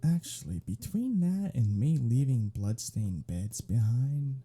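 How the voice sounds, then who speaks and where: Sly flirtatious male vampire played by a man for ASMR roleplay: very dark, breathy voice, sardonic teasing drawl. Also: medium pitch, very animated, slow pace, exaggerated voice. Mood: casual, wry. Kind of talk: ASMR roleplay audio drama.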